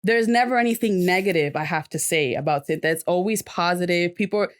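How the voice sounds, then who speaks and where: A woman guesting on a podcast with a nasal voice, talking animatedly about her best friend. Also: a little fast. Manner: animated, jumps up in pitch when quoting. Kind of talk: casual heartfelt chat.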